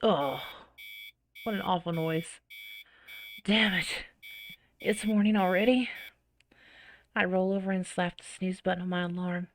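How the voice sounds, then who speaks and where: A woman's voice: fairly low pitch, fairly even intonation, unhurried, pauses often, slight background noise. A woman reading a visual novel aloud with dramatic expression and casual, giggly reactions.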